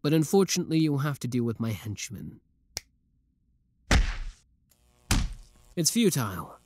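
A man's voice: mid-range voice, big pitch swings, fast talker, heavy background noise, natural voice. A young man voicing an obsessive, lovesick young male villain in a theatrical, brooding audio roleplay. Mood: taunting. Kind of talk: roleplay audio drama monologue.

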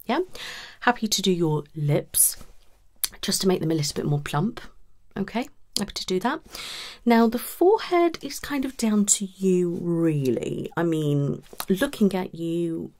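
Low, creaky soft-spoken British woman. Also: animated. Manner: reassuring, unhurried, conversational questioning. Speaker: ASMR performer playing a cosmetic surgery consultant. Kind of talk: ASMR roleplay consultation, soft-spoken with some whispering. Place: small indoor room set up as a clinic.

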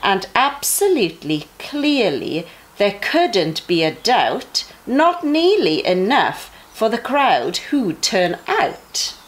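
Lively, unhurried woman reading to children. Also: medium pitch, rough recording. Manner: animated storytelling with emphatic phrasing for young children. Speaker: bedtime story reader for a junior church. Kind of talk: expressive read-aloud of children's Bible stories.

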